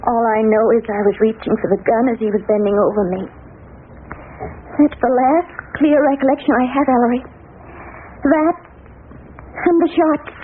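A woman acting a shaken yet plucky young heroine of a 1930s radio mystery. Her voice is very high, full of theatrical hesitation. The recording is noisy and tinny, with a telephone-like narrow band. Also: steady tone, normal speed, pauses often, lightly acted. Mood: frightened, bewildered. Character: shaken but plucky young female amnesiac suspect.

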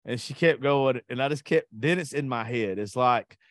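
A man's voice: medium pitch, moderate pace, fairly bright tone, no echo. Man, podcast host, blunt, crude humor, frequent swearing, dry sound. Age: adult. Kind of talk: casual chat.

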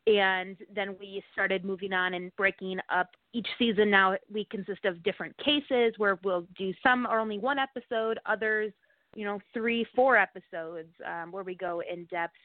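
The sound is dry and narrow, like a phone call. A woman with a medium-pitched voice gives casual, explanatory answers as an interview guest. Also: fairly even intonation, moderate pace, no room sound. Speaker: podcast host, interview guest.

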